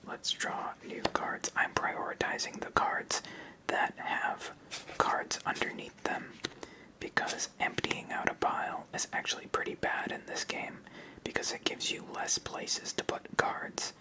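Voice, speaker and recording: An ASMR host speaks entirely in an unvoiced whisper. The delivery is calm and methodical, walking the listener through a card game one step at a time. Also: measured pace, rough recording.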